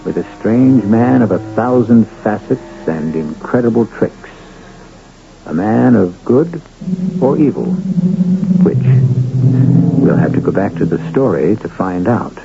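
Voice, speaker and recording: A man's voice: on the low side, very animated, slow pace, vocal fry, pauses often, noisy background. A man hosting a radio drama, reading scripted narration in a portentous, musing manner. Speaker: host narrator. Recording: dull radio broadcast over music.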